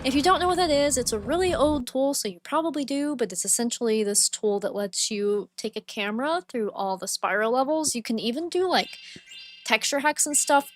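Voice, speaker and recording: A woman's voice: fairly high pitch, expressive, brisk, no echo. A woman streaming a game in casual, rambling chat full of "like" and "um", her voice getting dry and worn from talking so long. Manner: chatty, rambling, frequent "like" and "um". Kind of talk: casual livestream chat while playing. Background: game music.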